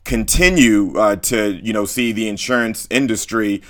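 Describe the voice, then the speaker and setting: A man hosting a podcast, introducing an episode in a halting, casual way. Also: on the low side. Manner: halting, lots of fillers and restarts. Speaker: podcast host.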